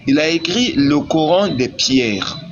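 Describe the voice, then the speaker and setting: A man's voice: a little high, animated, slow. A man debating religion heatedly, speaking in an insistent, repetitive, argumentative way over a live online connection. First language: not Arabic. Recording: muffled lo-fi call, background noise.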